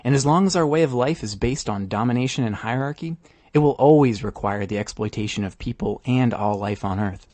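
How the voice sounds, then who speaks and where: A man's voice: medium pitch, a little fast, a little mellow, dry recording. A man reading scripted narration as a podcast host.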